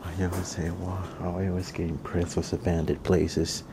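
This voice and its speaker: A young man vlogging as he explores an abandoned house, talking excitedly to his viewers, recorded on a handheld camera. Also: low voice, normal speed, noisy background. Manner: excited, addresses viewers as fams. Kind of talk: casual exploring vlog. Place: outdoors and inside an abandoned rural house. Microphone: handheld camera at arm's length.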